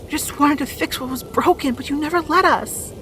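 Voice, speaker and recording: A woman's voice: high-pitched, fairly quick, music in the background, lightly acted. A woman playing an earnest, wounded young princess in a fantasy audio drama, speaking with pleading emotion. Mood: hurt, angry.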